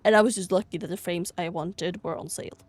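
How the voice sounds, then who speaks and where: A woman's voice: on the low side, fairly even intonation, quick pace. A woman from Sweden chatting casually over gameplay in a gushing, rambling way, sounding a bit tired. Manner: gushing over cute things. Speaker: gaming vlogger.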